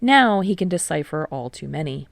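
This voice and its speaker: Creaky, lower-pitched woman reading fiction. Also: expressive, normal speed, no room sound, clean studio sound. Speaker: amateur audiobook narrator. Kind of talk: scripted fiction narration.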